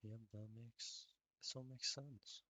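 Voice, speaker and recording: Bright, sharp-voiced man muttering over gameplay. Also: mid-range voice, steady tone, slow pace, dry recording. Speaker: gaming streamer. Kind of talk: casual solo gameplay commentary.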